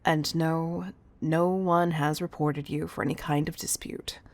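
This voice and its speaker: A woman playing a composed, commanding CEO in an intimate roleplay audio, speaking in a measured, teasing, patronizing way with affectionate firmness. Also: deep voice, fairly even intonation, no room sound. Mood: patronizing, probing.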